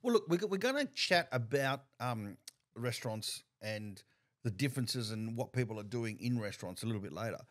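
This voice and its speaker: Casting note: middle-aged man, podcast host, mid-pitched, stop-start casual questioning. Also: expressive, fairly bright tone. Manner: halting, repeats words while thinking. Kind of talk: casual interview chat. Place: bar-like studio room, indoors. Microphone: desk mic on a stand.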